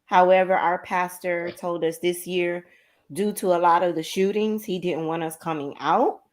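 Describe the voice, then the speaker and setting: A woman's voice: lowish voice, fairly even intonation, normal speed. A woman co-hosting a talk show, chatting casually and animatedly about her day. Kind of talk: casual chat.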